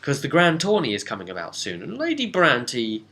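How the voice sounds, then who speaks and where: A man's voice: medium pitch, huge pitch swings, moderate pace, bright, forceful tone. A man, chatty and rambling, casually retelling a tabletop role-playing session as its game master and slipping into dialogue. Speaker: game master and vidcast host.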